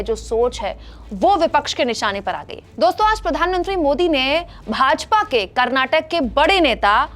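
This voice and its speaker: A woman's voice: high voice, fairly bright tone. A woman news presenter delivering scripted political commentary straight to her viewers.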